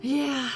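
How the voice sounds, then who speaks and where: A woman streamer chatting casually with her viewers, rambling and giggling, her voice a little worn as if she is losing it. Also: high-pitched. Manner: giggly, rambling, self-teasing.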